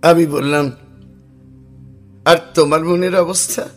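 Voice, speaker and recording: A fairly high-voiced man narrates a ghostly encounter in an audio drama. He speaks slowly and deliberately, uneasy yet tender, with music underneath. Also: fairly even intonation. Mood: gently curious. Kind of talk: dramatic first-person horror story narration.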